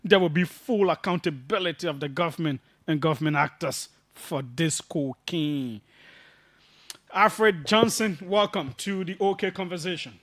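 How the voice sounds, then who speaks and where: Male radio host, high-pitched voice, close dry sound, for current affairs talk and reading statements on air. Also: measured pace, fairly bright tone, no room sound.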